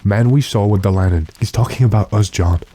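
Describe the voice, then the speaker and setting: An actor playing a composed, authoritative gentleman investigator in a radio drama, measured and deliberate. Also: on the low side, natural voice. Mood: hushed watchful. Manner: measured, deliberate, matter-of-fact. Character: calm, authoritative gentleman investigator addressed as sir. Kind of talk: classic radio drama acting.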